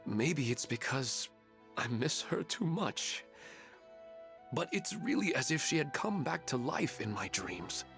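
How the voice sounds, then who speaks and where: A grieving widower talks in a middling, ordinary voice, subdued and hesitant. He sounds weighed down by sorrow, unsure of himself. Soft music plays faintly in the background. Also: medium pitch, very animated, unhurried, gravelly, full character voice. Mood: sorrowful.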